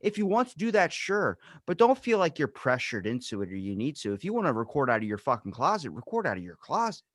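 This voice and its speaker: A man's voice: medium pitch, wildly swooping pitch, fast, hardly any pauses, a bit bright, no room sound, clean studio sound. A man in his twenties hosting a podcast, talking bluntly and swearing often in a casual rant. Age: 20s. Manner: blunt, swears a lot, ranting. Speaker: podcast host.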